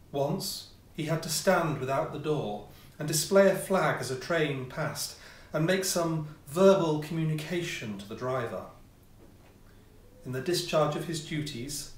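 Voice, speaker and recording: Echoey male storyteller, slightly high-pitched. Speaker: audiobook reader, amateur player. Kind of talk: scripted narration of a classic ghost story. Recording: roomy echo, distant mic, faint noise.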